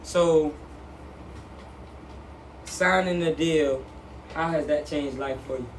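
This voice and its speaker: A man hosting a casual interview, relaxed and quick with short affirmations. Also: on the high side, roomy sound. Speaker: interviewer host. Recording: far from mic with faint noise.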